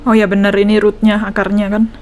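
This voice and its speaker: A woman's voice: medium pitch, monotone, quick pace, a little mellow. A woman gaming streamer with an Indonesian accent. She comments casually on what she plays in a mid-range voice, with faint game music underneath. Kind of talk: casual playthrough commentary mixing Indonesian and English.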